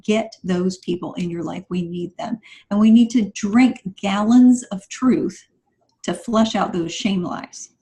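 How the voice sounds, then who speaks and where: A woman's voice: medium pitch, measured pace, dark tone. A woman speaking as a podcast guest, giving motivational advice in an emphatic, instructive and encouraging way.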